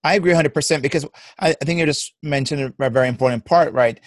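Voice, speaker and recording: A man's voice: on the high side, quick pace, dry recording, studio quality. A man with a Jamaican accent, a personal development coach, speaking as an interview guest in a reflective, encouraging way. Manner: warm, reflective and encouraging, laughs easily.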